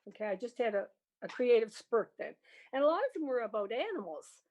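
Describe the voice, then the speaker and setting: A woman's voice: on the high side, sing-song, brisk, a little mellow, lo-fi sound. An older woman with a Canadian accent, reminiscing casually and animatedly, with trailing asides. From Prince Edward Island, Canada. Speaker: guest, music educator and organist.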